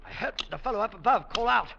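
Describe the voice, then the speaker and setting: An actor playing an exhausted male mountaineer on a glacier in an old radio drama, hushed in thought, then steady and reassuring. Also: high voice, quick pace, creaky, lo-fi sound. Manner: hushed inner monologue, then hearty and reassuring. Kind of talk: 1950s radio drama acting. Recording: old radio broadcast with faint hiss.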